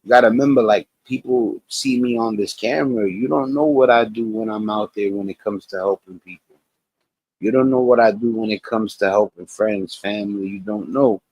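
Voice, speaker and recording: Host, male, late 40s; low-pitched, loose, preachy reaction talk. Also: fairly even intonation, moderate pace, darkish tone, dry recording, highs cut off. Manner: rambling, preachy life advice. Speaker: channel host. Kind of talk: casual reaction commentary.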